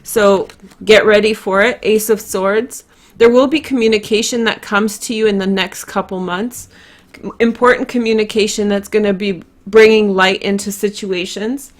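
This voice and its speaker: Casting: female tarot host, medium pitch, informal and chatty, unscripted commentary straight to the audience. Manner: chatty, informal, addresses viewers directly. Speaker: tarot card reader, host. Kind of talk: casual unscripted card-reading commentary to viewers.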